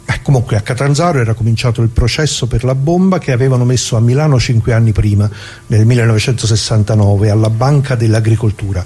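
Actor as husky, chatty old pensioner. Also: male voice, medium pitch, fast, dark tone, lightly acted. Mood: sorrowful, puzzled. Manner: colloquial, rambling, addresses listeners, repeats himself. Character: chatty, good-natured old retired man, working-class, indignant. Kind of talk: dramatic monologue in radio play.

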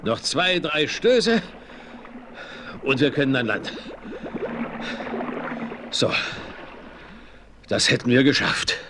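Lively, wry old frontiersman. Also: mid-range voice, takes it slowly, pauses often, background noise. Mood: wry, joking. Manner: chuckling, colorful, folksy exclamations. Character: old Western frontiersman, cheerful, wry, eager sidekick. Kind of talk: 1970s German radio play acting.

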